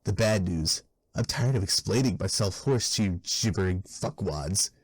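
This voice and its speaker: A low, husky cartoon author played by a man lectures with open contempt. He is wordy, weary and sneering, in a very dry recording. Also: moderate pace, no room sound. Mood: contemptuous, weary. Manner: scornful, verbose. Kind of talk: fan dub character narration.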